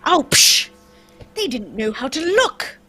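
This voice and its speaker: Radio-play detective female performer: commanding, confident schemer, high voice, light music behind. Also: big pitch swings, pushed and bright, full character voice. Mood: confident, conspiratorial. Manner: brisk, commanding, self-assured. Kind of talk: old-time radio drama script read live.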